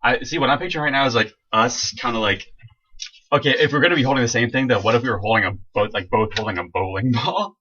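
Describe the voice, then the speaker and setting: A young man co-hosting a casual podcast, tossing in goofy jokes with a deadpan delivery. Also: medium pitch, quick pace. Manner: goofy, joking deadpan asides. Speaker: podcast co-host. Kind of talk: casual chat. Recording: dull lo-fi recording.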